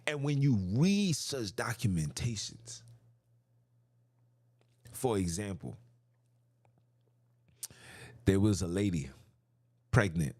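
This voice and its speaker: A man's voice: on the low side, big pitch swings, slow, pauses often, darkish tone, no room sound. A man hosting a podcast, delivering an impassioned, preachy monologue straight to his listeners, often repeating himself for emphasis. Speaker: podcast host.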